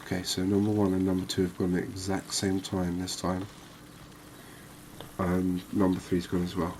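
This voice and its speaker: Low, even-toned man over background noise. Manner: unhurried, musing, conversational with tag questions. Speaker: gaming video host. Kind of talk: relaxed ASMR game commentary. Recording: clearly noisy background ambience.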